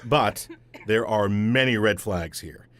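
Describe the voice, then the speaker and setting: An actor playing a dry, officious auditor in a comedic audio drama, formal and deadpan in his wit. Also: fairly low pitch, slow pace, dry recording. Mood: stern, matter-of-fact. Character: dry, officious male auditor, stiff and exacting.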